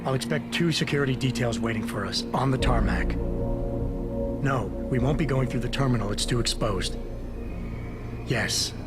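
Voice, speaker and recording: A man's voice: medium pitch, moderate pace, pauses often, over loud music, natural voice. An actor playing a guarded, dryly spoken male security chief in a video game cut-scene. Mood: dry, terse. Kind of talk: low-key cinematic video game acting.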